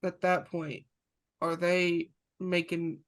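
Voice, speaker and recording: High-voiced young man asking halting questions. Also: flat delivery. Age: 20s. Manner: curious, halting questions with restarts. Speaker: podcast host. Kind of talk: casual interview chat.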